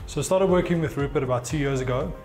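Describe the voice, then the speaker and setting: A man's voice: a little high, fast, darkish tone. A man in his thirties, a fitness coach, explaining his work in an interview to camera. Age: 30s. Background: background music.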